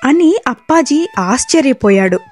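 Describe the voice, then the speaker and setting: Rather high-pitched woman narrating a story. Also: animated, unhurried, darkish tone.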